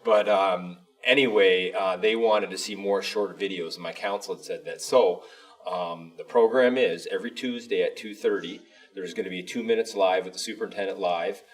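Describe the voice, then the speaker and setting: A man's voice: sits low, steady tone, normal speed, far from the mic. A man chatting casually as a school superintendent and co-host, in a rambling, folksy way that often doubles back. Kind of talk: casual chat.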